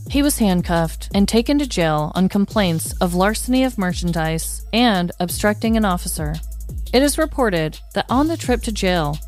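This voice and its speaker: A woman narrates a scripted true-crime tale as a podcast host. Her voice sits a little low and her telling is measured and articulate. Faint music plays underneath. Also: a little mellow.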